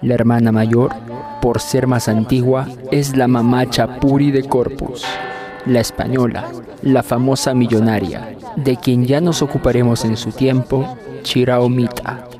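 A man's voice: medium pitch, level tone, a little slow. A man from Cusco with a Peruvian Andean accent, presenting a scripted segment as a storyteller. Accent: Peruvian Andean Spanish, Cusco. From Cusco, Peru. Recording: loud music over the voice. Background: loud music.

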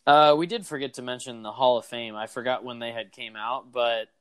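A man in casual conversation who rambles and gets animated, full of "like" and "man". His voice is mid-pitched, and the sound is dry and close with no room around it. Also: brisk, clean tone, no echo. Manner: animated, rambling, lots of fillers. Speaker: podcast co-host. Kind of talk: casual chat about wrestling.

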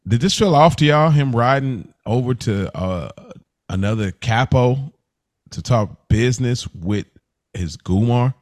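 A man's voice: mid-range voice, animated, unhurried, a little mellow. A man co-hosting a casual TV review podcast, talking in a slangy, conversational way. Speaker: podcast co-host.

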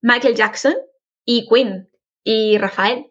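A woman with an Andalusian accent, a guest in a casual interview, speaking in a friendly, informative and steady way. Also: fairly high pitch, slow, breathy edge. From Jaén, Andalusia, Spain. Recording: dull lo-fi audio.

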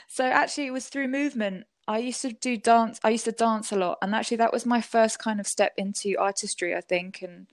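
A woman's voice: fairly high pitch, fairly even intonation, a little fast, no echo. Chatty woman, dry close sound. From Southwest London, England. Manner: chatty and reflective, many fillers, laughs often. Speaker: guest musician. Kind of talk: casual interview conversation.

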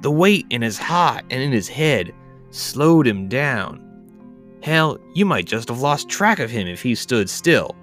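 A man playing a folksy, rustic yarn-spinner who tells a dark tale in a drawling, colloquial, theatrical way. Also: medium pitch, very animated, unhurried, faint music behind. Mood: ominous, slow. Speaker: podcast host reading a tale. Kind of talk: theatrical dramatic storytelling.

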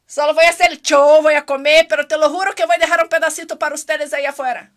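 A woman's voice: sits high, fairly even intonation, fast talker, a bit bright. A woman from Brazil with a Brazilian Portuguese accent, hosting a playful, joking casual chat. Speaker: host.

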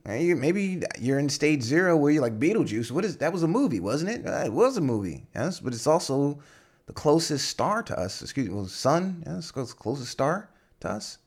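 A man's voice: fairly high pitch, sing-song, normal speed, darkish tone, slightly rough. A young man hosting a solo podcast, talking casually and rambling with many fillers and restarts. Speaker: podcast host.

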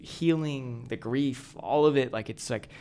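A man's voice: mid-range voice, unhurried, darkish tone. A young man co-hosting a podcast, talking casually and eagerly about films and music. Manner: enthusiastic, chatty, self-aware. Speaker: podcast co-host. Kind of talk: casual chat.

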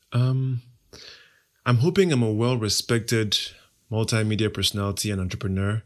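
A young man from Nigeria, an Igbo speaker, answering interview questions in a confident, playful, self-assured way. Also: fairly low pitch. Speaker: podcast host, interview guest.

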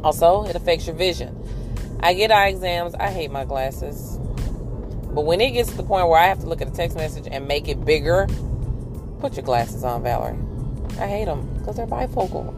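A woman in her forties with a somewhat deep voice, chatting candidly and upbeat while she drives on her commute. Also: expressive, moderate pace, over music. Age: 40s. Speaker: podcast host. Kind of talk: casual chat while driving.

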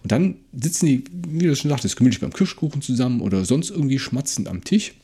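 A man's voice: mid-range voice, big pitch swings, quick pace, warm tone, husky. A man co-hosting a podcast, giving his opinions conversationally and repeating short words of agreement. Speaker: podcast host. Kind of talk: casual discussion and critique of an audio drama.

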